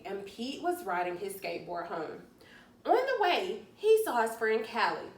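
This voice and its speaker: Animated woman reading aloud to young children. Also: a little high. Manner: deliberate, emphatic, drawn-out key words. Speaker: children's story-time teacher. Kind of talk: expressive read-aloud narration for young children. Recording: roomy, distant mic, faint noise.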